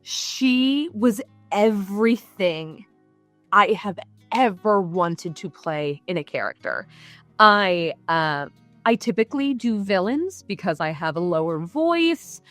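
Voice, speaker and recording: A young woman talks eagerly in an interview, her words tumbling out with fillers and quick asides. Her pitch sits in the middle range. The sound is close and dry, with faint music underneath. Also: dry recording. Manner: animated, gushing, many fillers and quick asides. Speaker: voice actor guest. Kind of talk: casual interview chat.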